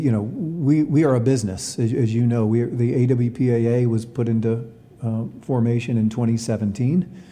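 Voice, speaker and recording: A man answering interview questions as a college athletics director, in a measured way with hesitant, repeated words. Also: medium pitch, mellow, in a large hall.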